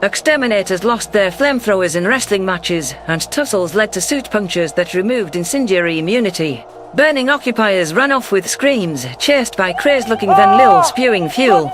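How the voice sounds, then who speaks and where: A man's voice: high-pitched, lively intonation, normal speed, fairly bright tone, slight background noise. A man reading a scripted first-person story as its narrator, in an audio drama. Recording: music bed under voice.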